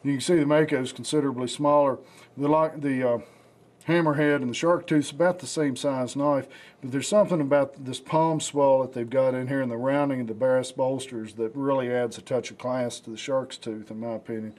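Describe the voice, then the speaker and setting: Raspy guy with a flu-hoarse voice, rambling on about knives, lots of uhs. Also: medium pitch, brisk. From United States. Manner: rambling, relaxed, many fillers and restarts. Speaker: knife show host. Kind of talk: casual product demonstration.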